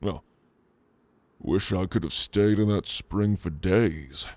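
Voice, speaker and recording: Man acting a slow-talking, flirtatious orc adventurer. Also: low voice, no echo. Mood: teasing, flirtatious. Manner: teasing, intimate, casual drawl with soft chuckles. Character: flirtatious male orc adventurer, teasing, protective, gentlemanly. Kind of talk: ASMR roleplay addressed to the listener.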